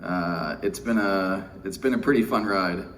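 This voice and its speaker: Slow, low-voiced American man giving a keynote. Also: a bit bright. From Houston, Texas, United States. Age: middle-aged. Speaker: keynote speaker. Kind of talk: informal keynote speech. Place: windowless indoor conference room. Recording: distant mic, faint noise, room ambience.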